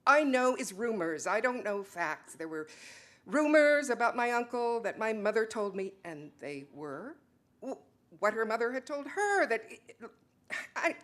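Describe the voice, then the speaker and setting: A woman's voice: fairly high pitch, big pitch swings, a little slow. A woman playing an exasperated, defensive wife in a dramatic stage reading, speaking emphatically. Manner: emphatic, insistent, swinging from soft to loud.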